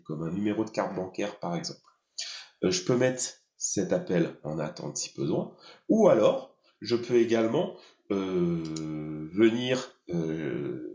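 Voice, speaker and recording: A man giving an unscripted live software demonstration, explaining step by step with a hesitant, halting delivery. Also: lowish voice. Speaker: software demo presenter. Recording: echoey room, slightly dull sound.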